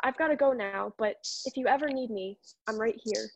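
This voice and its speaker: A woman's voice: fairly high pitch, moderate pace, creaky, no echo, low-quality recording. A woman playing a frank, encouraging younger dream self in a radio drama, speaking directly and insistently.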